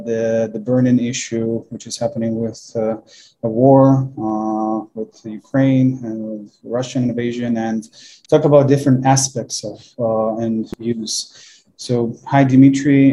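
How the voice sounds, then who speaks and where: A man hosting an interview, speaking in a hesitant, halting way with many restarts. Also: mid-range voice, flat delivery, mellow, lo-fi sound. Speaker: host.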